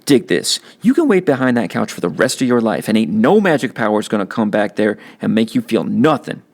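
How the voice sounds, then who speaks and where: A man's voice: lowish voice, very animated, fairly quick, vocal fry, heavily acted. A man voicing a tough, streetwise teenage older brother who lectures bluntly in slang. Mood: lecturing. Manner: scolding, slangy, forceful lecturing.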